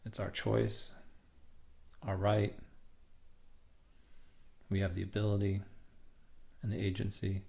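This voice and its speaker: Male meditation guide: low, gravelly fry, gentle delivery with measured pauses. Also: little pitch movement. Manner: gentle, unhurried phrasing with frequent pauses.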